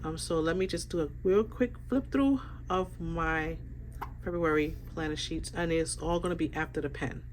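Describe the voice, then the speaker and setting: A woman's voice: lowish voice, a little hiss or hum. Stuffy-voiced woman vlogger. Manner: relaxed, rambling, many fillers. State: stuffy from a sinus infection. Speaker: planner vlogger. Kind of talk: casual planner flip-through.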